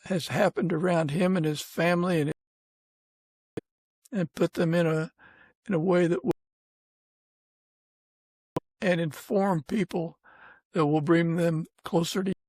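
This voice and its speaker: A man hosting a conversational podcast, with halting, gentle phrasing. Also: a little high, fairly even intonation, no room sound, low-quality recording. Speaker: podcast host. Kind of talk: conversational interview and informal prayer.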